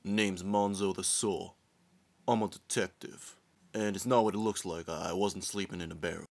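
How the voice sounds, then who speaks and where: A man's voice: low voice, steady tone, moderate pace, creaky. A man voicing a boastful, bumbling detective who bluffs his way along in an anime parody dub. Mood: defensive.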